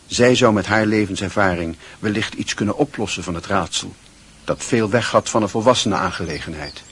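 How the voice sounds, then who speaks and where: A man narrating a literary radio drama in a husky, measured voice. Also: fairly low pitch, a bit bright. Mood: measured, descriptive. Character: measured literary male storyteller.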